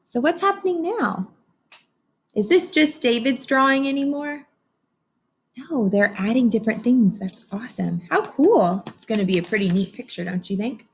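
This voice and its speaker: Женщина с живой интонацией читает детям вслух. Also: female voice, on the high side, expressive, normal speed, a little hiss or hum. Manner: encouraging, checks understanding with simple questions. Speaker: teacher reading a picture book aloud. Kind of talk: read-aloud to young children with questions and explanations.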